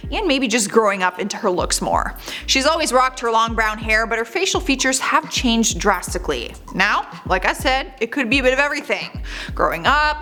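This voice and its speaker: A young woman with a fairly high voice hosts a celebrity countdown, mixing scripted reading with chatty, candid asides. Light music plays faintly underneath. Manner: chatty, candid asides, lively swoops in pitch. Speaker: host.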